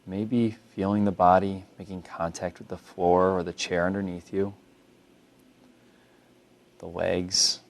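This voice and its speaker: A man with a low-pitched voice guides a body scan meditation. He speaks as a mindfulness instructor, calm and unhurried, gently instructing the listener.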